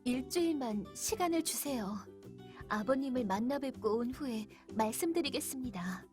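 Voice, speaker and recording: A woman voicing a kind, gentle young heroine in a children's storybook, speaking softly and earnestly. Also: high voice, lively intonation, normal speed, over music. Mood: reassuring. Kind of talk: storybook character voice.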